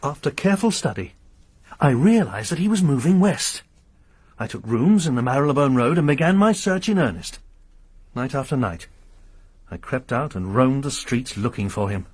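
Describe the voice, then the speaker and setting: Brief: a vengeful, Bible-quoting lodger for a radio play, husky, with a very dry, close sound. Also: male voice, mid-range voice, expressive, normal speed, no room sound. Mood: brooding. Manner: formal, sermonizing, quotes scripture. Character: grim pious lodger, grieving vengeful father. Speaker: lodger under arrest. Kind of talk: radio drama acting.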